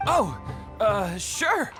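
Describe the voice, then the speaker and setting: A nervous, deferential attendant from a video game. His voice is high and lively, with eager, stammering formality. Music plays clearly behind him. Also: drawn-out words, exaggerated voice. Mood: flustered, eager.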